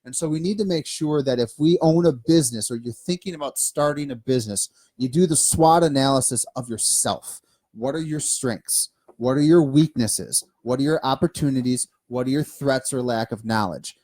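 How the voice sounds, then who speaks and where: A man with a Midwestern American accent co-hosting a business podcast, talking in an animated, emphatic way and listing points off one by one. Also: mid-range voice, normal speed. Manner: animated, emphatic, lists things off. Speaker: podcast co-host. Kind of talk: casual business chat. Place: studio.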